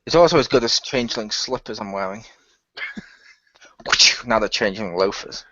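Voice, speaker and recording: A man's voice: fairly low pitch, measured pace, bright, forceful tone, dry recording. A man chatting casually as a cast member, teasing the others and laughing a lot. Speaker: cast member of an audio drama. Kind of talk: casual chat. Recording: dull lo-fi, dry sound.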